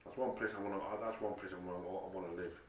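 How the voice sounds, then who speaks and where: A man's voice: low voice, fairly even intonation, fast, echoey room, distant mic, a little hiss or hum. A man with a Nottingham accent, rambling in a monologue to camera, swearing constantly, repeating himself and stumbling over his words. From England. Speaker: vlogger.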